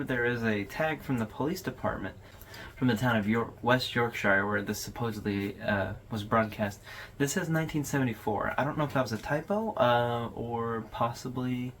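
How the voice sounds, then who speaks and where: A man's voice: medium pitch, a bit bright, a little hiss or hum. A man hosting a video overview, giving casual, conversational commentary in an informal way. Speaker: host of a horror video overview channel.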